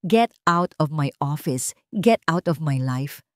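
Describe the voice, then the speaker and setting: A woman's voice: sits low, expressive, takes it slowly, no room sound, clean studio sound. A woman voicing a wealthy male boss who feels betrayed, bitter and accusing in a radio-style love drama. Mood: furious. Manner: bitter accusing, shouts commands. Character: angry betrayed wealthy male boss, cold then explosive.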